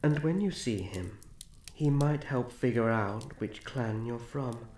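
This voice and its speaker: A man playing a young elf prince in a fantasy ASMR roleplay, formal, soft-spoken, gentle and protective. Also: medium pitch, takes it slowly, natural voice. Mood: courteous, thoughtful. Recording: roomy echo, faint background noise.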